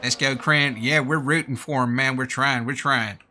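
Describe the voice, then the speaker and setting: A man's voice: mid-range voice, steady tone, normal speed, sharp tone, a little hiss or hum. A man doing live sim-racing commentary in a put-on American accent, informal and slangy, with casual asides. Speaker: race commentator and streamer.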